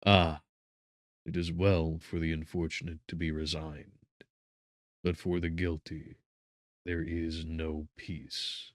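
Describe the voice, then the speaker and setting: A man narrating a gothic novel as an audiobook, solemn and theatrical, with measured phrasing and emphatic swells. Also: a bass voice, flat delivery, no room sound, clean studio sound.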